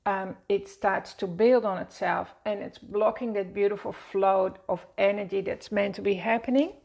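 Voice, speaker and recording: A woman with a Dutch accent guiding a meditation lesson in a slow, gentle, soothing way, seated and speaking to camera. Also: medium pitch, fairly even intonation, creaky. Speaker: wellness teacher. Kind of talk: guided meditation teaching. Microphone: seated at a desk, speaking to camera.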